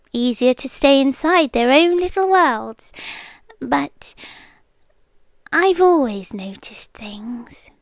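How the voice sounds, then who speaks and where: A British woman playing a shy, obsessive yandere girlfriend in an intimate ASMR roleplay, soft, breathy and hesitant, often slipping into a whisper. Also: on the high side, dry recording, exaggerated voice. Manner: slow, hesitant, confiding. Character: shy, obsessive young yandere girlfriend, sweetly intense and nervous.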